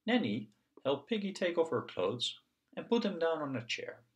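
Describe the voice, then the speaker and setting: A man narrates a children's story as a read-aloud host. His delivery is expressive and singsong, with pitch that moves around a lot. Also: moderate pace, muffled recording.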